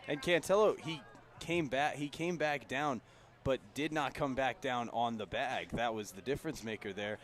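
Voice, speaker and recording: A man's voice: medium pitch, moderate pace. A man giving live analytical color commentary on a baseball broadcast from an outdoor ballpark.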